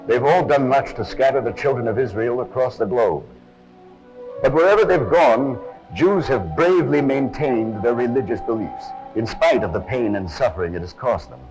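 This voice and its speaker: A man's voice: mid-range voice, lively intonation, moderate pace, music in the background, rough recording. A man giving scripted, dramatic documentary narration on a harsh, over-modulated old film soundtrack. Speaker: presenter of an army training film.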